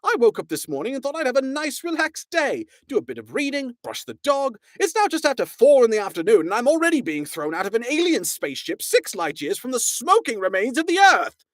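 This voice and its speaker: A man voicing a flustered, indignant man who complains in high, rapid, exasperated bursts. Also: big pitch swings, a bit bright, dry recording, studio quality, full character voice. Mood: panicky exasperated. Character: panicky indignant Englishman, flustered and whiny.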